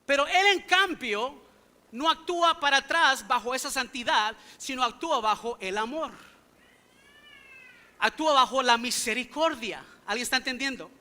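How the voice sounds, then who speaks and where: Man, pastor, sermon, very high voice, sharp bright tone, English-native speaker, rhetorical questions. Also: moderate pace. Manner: emphatic, full of rhetorical questions to the congregation. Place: church sanctuary.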